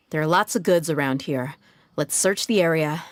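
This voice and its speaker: A woman voicing a tough, confident, blunt female mercenary in a video game, with a brisk, cocky manner. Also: deep voice, dry recording. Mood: calm, concerned. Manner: brisk, cocky, casually menacing.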